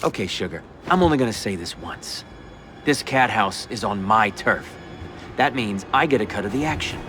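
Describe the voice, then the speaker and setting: An actor playing a cocky, blunt mob boss in a video game, talking tough and giving orders. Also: male voice, fairly low pitch, animated, moderate pace, over music, natural voice. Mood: menacing, blunt. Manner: tough-talking, profane, commanding. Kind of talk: video game cutscene acting.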